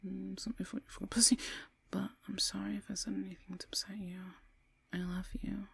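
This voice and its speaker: A woman acting a foul-mouthed young woman in an audio drama, speaking in a halting, informal way with breathy murmurs. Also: medium pitch, fairly bright tone, no room sound. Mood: worried, muttering. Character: foul-mouthed young woman, casual with friends, pleading when threatened. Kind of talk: solo audio roleplay drama, one side of dialogue.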